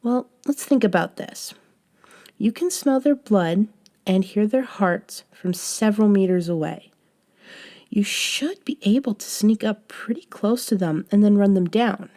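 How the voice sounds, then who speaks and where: A woman playing a patient, gently chiding young friend in a soft-spoken scripted roleplay audio. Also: medium pitch, lively intonation, unhurried. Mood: patient, teasing. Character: young woman, patient bossy friend coaching a reborn vampire. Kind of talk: scripted roleplay audio, one-sided conversation.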